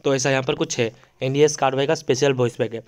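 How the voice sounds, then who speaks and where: A man's voice: medium pitch, steady tone, quick pace. A man giving casual game update commentary as a YouTuber, explaining things repetitively with plenty of fillers. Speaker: gaming YouTuber. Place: voice-over on mobile game screen recording.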